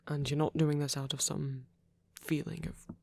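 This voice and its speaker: A man voicing a gentle, protective vampire priest in an ASMR roleplay, speaking softly and breathily in halting, earnest, tender confession. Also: a little high, little pitch movement, no echo. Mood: careful, earnest. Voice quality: breathy, whispery, soft. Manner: hesitant, halting, earnest delivery. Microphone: close microphone, dry sound.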